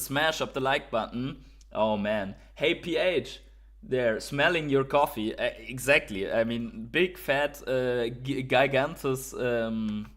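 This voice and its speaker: A man's voice: medium pitch, fairly bright tone. A man from Germany, a native German speaker with a German accent, hosting a live stream with enthusiastic, informal market commentary and chat. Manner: enthusiastic, informal, reading out and answering viewer messages.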